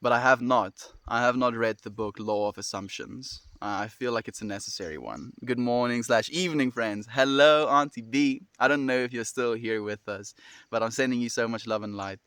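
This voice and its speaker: Fast-talking South African young man. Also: mid-range voice, a bit bright, clean tone, no echo. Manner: fast-talking, friendly, reads and answers comments. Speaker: livestreamer. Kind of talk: casual chat with viewers.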